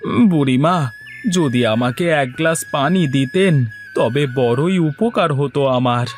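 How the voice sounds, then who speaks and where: A man's voice: on the high side, a little slow, faint music behind, close to an everyday voice. A man playing an earnest, anxious young village husband in a melodramatic cartoon. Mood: weary.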